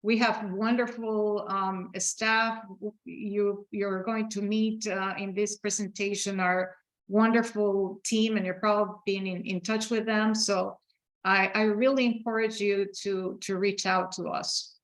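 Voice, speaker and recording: A woman's voice: mid-range voice, little pitch movement, measured pace, rough recording. A middle-aged woman with an Ecuadorian Spanish accent, giving a program presentation over a video call with many fillers and restarts. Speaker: program director. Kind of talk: virtual open house presentation.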